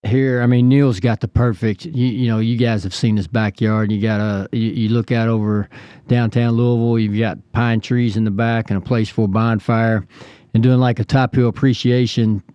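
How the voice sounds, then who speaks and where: Mellow-voiced podcast host rambling along. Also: male voice, medium pitch, flat delivery, clean tone, no room sound, lo-fi sound. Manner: rambling, halting, full of restarts. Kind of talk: casual podcast chat. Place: home recording studio.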